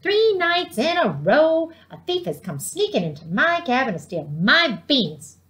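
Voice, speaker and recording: A woman voicing a feisty, stubborn old granny in a storybook, with folksy, emphatic drawn-out exclamations. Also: high voice, big pitch swings, a bit bright, pitched above the performer's own voice, heavily acted. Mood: indignant, determined.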